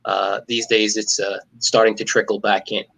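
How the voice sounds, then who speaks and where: A man's voice: lowish voice, normal speed, vocal fry, rough recording. A middle-aged man from New York, a stand-up comedian, joking dryly and cynically in a casual interview over a video call. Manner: wisecracking, cynical, deadpan jokes.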